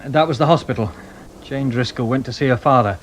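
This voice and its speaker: A grumbling, matter-of-fact British police sergeant played by an actor in a radio play. He has a mid-pitched voice with sardonic edges, over faint background ambience. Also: a little fast, a little hiss or hum, lightly acted. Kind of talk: British radio drama acting.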